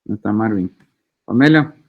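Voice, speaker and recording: A man's voice: on the low side, lively intonation, normal speed, creaky, slight background noise. A man, a university lecturer taking roll and teaching in an informal, teasing way over a video call. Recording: tinny phone-like call audio.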